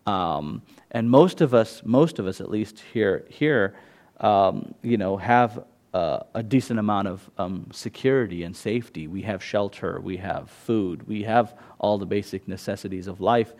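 A man's voice: on the low side, a little slow, darkish tone. A man, an imam, giving an informal talk to an audience at a meditation center, loose and conversational, with frequent fillers and restarts. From United States.

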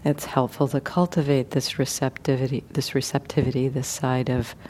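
A woman meditation teacher leading a guided meditation gently and without hurry, leaving many pauses. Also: a bass voice, flat delivery, slight background noise.

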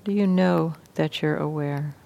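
A woman teaching meditation, guiding a sitting practice in a gentle, unhurried way with long pauses. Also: low voice, creaky, lo-fi sound. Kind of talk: guided meditation instruction.

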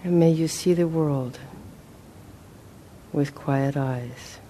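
Slow, mellow woman guiding meditation. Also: deep voice, slight background noise. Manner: gentle, measured, with long pauses. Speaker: meditation teacher. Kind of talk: guided meditation.

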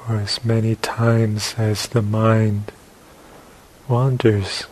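A man leading a guided meditation as a teacher, speaking in short phrases with long pauses between them. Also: on the low side, little pitch movement, darkish tone. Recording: hissy live talk recording.

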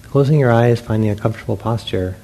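Male meditation teacher, dark relaxed tone with gravelly fry, speaking gently in spaced-out phrases. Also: medium pitch, poor audio. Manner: unhurried, gentle guidance with long pauses. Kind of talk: guided meditation instruction to a group. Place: meditation hall with a group. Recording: faint background noise.

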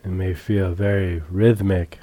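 Male meditation teacher, deep and even-toned, calm and unhurried with long pauses. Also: no room sound. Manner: calm, measured, long pauses between phrases. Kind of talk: guided meditation instruction. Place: retreat center meditation hall.

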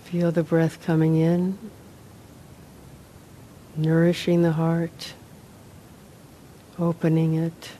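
A woman meditation teacher leading a guided meditation, unhurried, in short phrases with long pauses. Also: low voice, completely flat delivery, dark tone, a little hiss or hum, poor audio. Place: meditation center, live talk.

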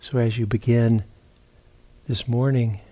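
A man teaching meditation, giving guided instruction in a halting, unhurried way with long pauses and repeated words. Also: medium pitch, steady tone, mellow. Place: meditation retreat center.

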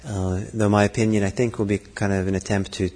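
A middle-aged man giving a Buddhist dharma talk, thoughtful and halting, with frequent fillers and restarts. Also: lowish voice, flat delivery, a little mellow. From Norway. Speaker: Buddhist teacher. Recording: long hall reverb.

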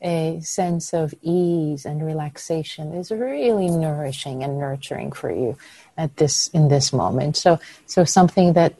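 A woman whose first language is Persian, leading a meditation group discussion over a video call in a soft, nurturing way with frequent affirmations. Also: on the low side, dry recording. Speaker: meditation teacher.

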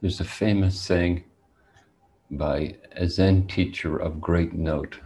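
A man teaching meditation, speaking in a deliberate, measured way with long pauses as he guides listeners. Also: low voice, fairly even intonation, warm tone.

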